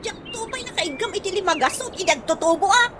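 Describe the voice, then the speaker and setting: A woman playing a sharp-tongued, debt-ridden wife in a radio comedy-drama, argumentative, with an Ilocano accent. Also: extremely high pitch, animated, quick pace. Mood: scolding. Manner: nagging, argumentative. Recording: clearly noisy audio.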